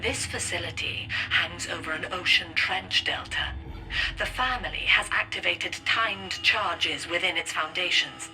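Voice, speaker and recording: A woman acting a cold, controlling middle-aged cult leader in a video game, measured, breathy and ominous. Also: on the low side, over music, close to an everyday voice, poor audio. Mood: stern ultimatum.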